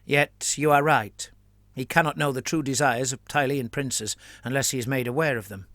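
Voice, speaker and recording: A man voicing a calm, evasive priest who rambles in measured, placating speech. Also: medium pitch, no room sound, close to an everyday voice. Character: evasive scheming male priest, calm and wordy.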